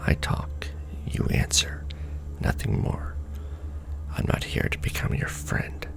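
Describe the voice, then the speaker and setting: A man playing a jaded, sardonic manager at a secret society in an ASMR roleplay, speaking in a dry, world-weary deadpan. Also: takes it slowly. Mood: brisk, wry, then stern, sardonic. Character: jaded, sardonic male Illuminati manager briefing a new recruit.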